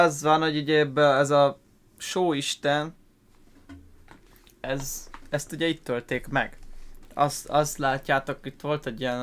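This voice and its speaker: A man's voice: mid-range voice, fairly bright tone, smooth. A Hungarian man giving casual let's play commentary, explaining things in a rambling, informal, coarse way. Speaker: gaming YouTuber.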